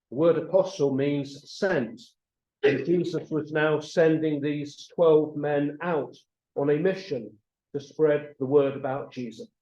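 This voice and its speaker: A man delivers a sermon in a measured, explanatory storytelling manner. His voice is mellow and a little high for a man. The room echoes around him and the recording sounds slightly dull. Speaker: preacher. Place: church meeting room, also streamed online.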